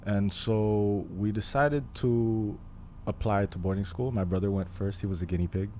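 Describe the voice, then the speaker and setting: A man in his twenties from Haiti, telling his story as a guest, thoughtful and pausing often with fillers. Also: on the low side, steady tone, dark tone, a little hiss or hum. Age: 20s. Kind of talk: casual interview. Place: living room podcast set. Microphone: studio mic on boom arm, headphones.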